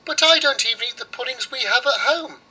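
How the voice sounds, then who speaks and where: A man's voice: very high voice, brisk, sharp tone, slightly rough, voice pushed up, exaggerated voice, rough recording. A man voicing an innocent, fussy young girl in a storybook, with an insistent childlike manner. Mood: fussy refusal. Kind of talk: storybook character voice.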